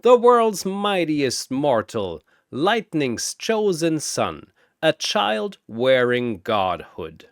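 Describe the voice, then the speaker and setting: A man narrating a fantasy battle in a bombastic, theatrical style with drawn-out emphasis, like an arena announcer. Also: fairly high pitch, huge pitch swings, dry recording, studio quality.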